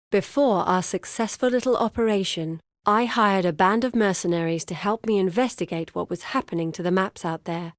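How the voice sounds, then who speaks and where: An actress playing a scholarly, driven young woman researcher in a fantasy video game, speaking in an articulate, measured and earnest way. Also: mid-range voice, darkish tone, no echo, clean studio sound, natural voice. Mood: businesslike, intent.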